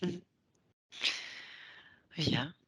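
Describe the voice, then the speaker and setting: A woman hypnotherapist guiding a session, asking short patient questions softly, often in a whisper. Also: very slow.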